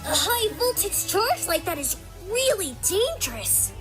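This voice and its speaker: Woman doing a tiny, very high boy fox. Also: very animated, measured pace, exaggerated voice. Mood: earnest, concerned. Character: earnest, worried young boy fox sidekick. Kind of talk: animated comic dub acting. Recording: voice over loud music.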